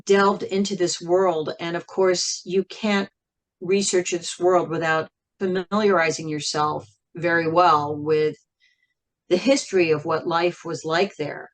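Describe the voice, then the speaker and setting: A woman talking reflectively as an interview guest, thoughtful and measured, with frequent pauses. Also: fairly low pitch, fairly even intonation. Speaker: interview guest, singer and writer.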